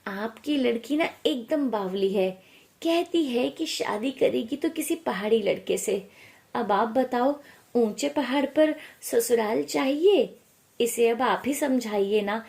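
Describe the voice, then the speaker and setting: A woman's voice: high-pitched, creaky, darkish tone, exaggerated voice. A woman voicing a chatty middle-aged mother in a story, nagging and exasperated. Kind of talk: acted storytelling dialogue.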